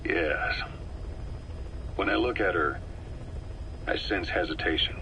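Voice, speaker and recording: A man playing a cool, calculating veteran mercenary intelligence officer in a video game, speaking in a measured, wry, persuasive way. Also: deep voice, creaky, pushed and bright, full character voice. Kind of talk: video game voice acting, briefing dialogue. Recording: noisy radio-like cassette tape recording.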